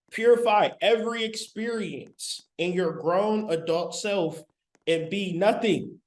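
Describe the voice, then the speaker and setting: A man giving a live-streamed spiritual lecture. He talks in an emphatic, repetitive, colloquial way, straight to his viewers, on a laptop mic. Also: a little high. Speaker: spiritual teacher.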